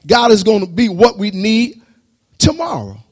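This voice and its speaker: A man preaching a sermon, reading scripture slowly before preaching with rising intensity. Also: big pitch swings. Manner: reads scripture slowly, then preaches rising in pitch and loudness.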